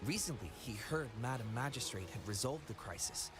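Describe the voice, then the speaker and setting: A man's voice: mid-range voice, animated, a little fast, over music, natural voice. Brief: talkative young male villager, friendly storyteller, game NPC, played by a man. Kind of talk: game voice acting.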